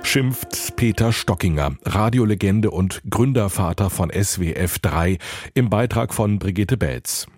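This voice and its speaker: A man's voice: on the low side, animated, a little slow, a little mellow, faint music behind. A man presenting on radio, reading scripted links between reports.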